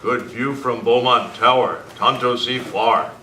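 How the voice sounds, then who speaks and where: A man's voice: mid-range voice, steady tone, measured pace, sharp tone. A man playing a stoic, literal-minded sidekick in an old-time radio western, speaking clipped broken English. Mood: earnest. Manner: clipped, broken English dropping articles and pronouns. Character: Tonto, stoic, literal-minded Native sidekick of a masked lawman. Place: club meeting room, live presentation. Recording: echoey, noisy room, distant mic.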